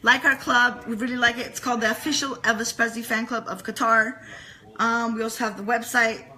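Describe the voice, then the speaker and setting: A woman with a fairly high, very bright voice chats as a guest in a casual interview. She speaks earnestly and enthusiastically, leaning on repeated phrases for emphasis. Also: fairly even intonation, brisk, low-quality recording. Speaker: interview guest, fan club leader.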